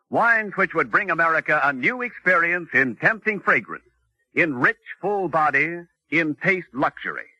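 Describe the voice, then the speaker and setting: A man's voice: a little high, sharp tone, breathy edge, no echo, poor audio. A man announcing in a scripted 1940s radio sponsor style, with grand, emphatic, formal salesmanship.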